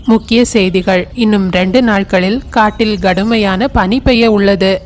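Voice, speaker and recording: A woman's voice: mid-range voice, fairly even intonation, normal speed, a little hiss or hum, voice pushed down, lightly acted. A woman playing a formal radio newsreader giving an announcement in a cartoon. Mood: grave.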